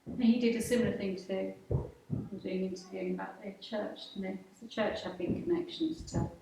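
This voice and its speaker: A middle-aged woman with an average-pitched voice chats casually, reminiscing as a local would. She is recorded in a very echoey room, away from the mic, with faint noise. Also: brisk, gravelly, dark tone, recorded from a distance. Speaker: local interviewee and pantomime participant.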